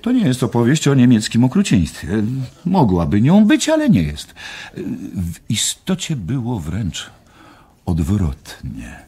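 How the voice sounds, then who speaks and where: An actor in a radio play as a long-winded, wry American war correspondent telling a rambling story. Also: male voice, medium pitch, wildly swooping pitch, measured pace, mellow, husky, full character voice.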